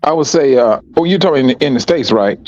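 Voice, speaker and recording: A man from Chicago talks slowly through his ideas, restarting phrases as he thinks. His voice sits in a middle pitch. The recording is muffled and lo-fi. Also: lively intonation, a crowd in the background. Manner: measured, repeats words as he thinks. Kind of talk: casual reflective chat.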